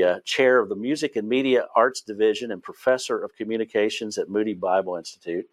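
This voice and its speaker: Male host, West Virginia accent, rambling and informal, lower voice. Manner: rambling, many fillers and restarts. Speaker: host and Bible teacher. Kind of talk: casual interview.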